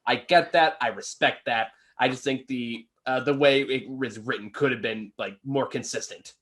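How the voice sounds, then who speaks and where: A man's voice: mid-range voice, moderate pace, fairly bright tone. A man co-hosting a casual film review, chuckling and swearing as he talks. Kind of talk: casual movie review chat.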